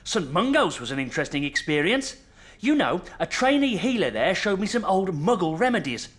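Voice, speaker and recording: A man's voice: high voice, very animated, moderate pace, a bit bright. An actor playing a genial, curious middle-aged father wizard in a video game, with a British accent. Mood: fascinated. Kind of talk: video game cutscene acting.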